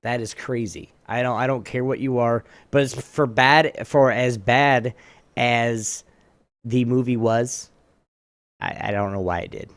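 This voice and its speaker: A man's voice: mid-range voice, fairly even intonation, measured pace, dry recording. A man hosting a casual gaming talk show, chatting informally and running a trivia game.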